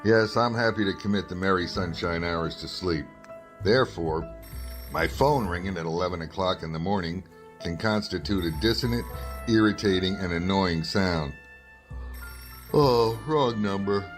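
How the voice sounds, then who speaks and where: An actor playing a sardonic, grumbling, world-weary night reporter in a 1950s-style radio drama. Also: male voice, low voice, moderate pace, soft background music, natural voice. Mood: irritated. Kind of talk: 1950s radio detective drama narration and dialogue.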